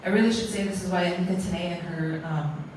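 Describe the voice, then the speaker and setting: A woman's voice: lowish voice, fast, a little mellow, slight background noise. A woman from American Samoa giving a formal, earnest closing speech at an arts awards event, often building her points as lists. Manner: earnest, ceremonial, speaks in lists. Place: large echoey museum hall. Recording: echoey hall, distant mic.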